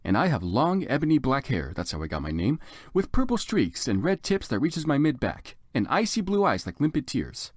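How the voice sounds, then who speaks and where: A man narrates a story theatrically, with exaggerated emphasis and hugely animated intonation. The voice sits at a medium pitch and sounds close and dry. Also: no room sound. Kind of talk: dramatic comedic fan fiction reading.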